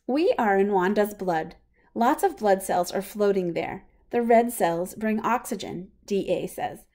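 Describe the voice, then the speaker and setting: A woman reading a picture book aloud for young children in a simple, expressive way. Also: mid-range voice, measured pace. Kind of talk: children's storybook read-aloud.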